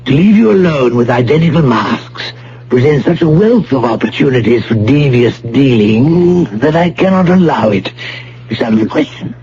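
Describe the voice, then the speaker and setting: A man acts out a ruthless, courteous criminal mastermind in a vintage radio drama. He speaks formally and deliberately at a medium male pitch, each threat politely put. The old broadcast recording is muffled, with a faint hum. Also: lively intonation, mellow, natural voice. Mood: smooth, controlling.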